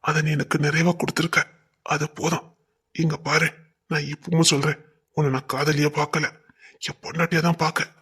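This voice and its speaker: A man acting a devoted young lover, husky and pleading, in a romantic drama. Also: fairly high pitch, level tone, fairly quick, long pauses, fairly bright tone. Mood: tender. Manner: tender, pleading, emotional. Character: devoted, tearful young village man in love.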